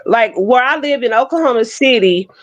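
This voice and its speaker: A woman in her fifties chatting frankly on an online panel, telling her own stories with lively, blunt humor. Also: a little high, normal speed, highs cut off. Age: 50s. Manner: frank, animated storytelling with Southern-inflected slang. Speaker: panel co-host. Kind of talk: casual chat. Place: Oklahoma City.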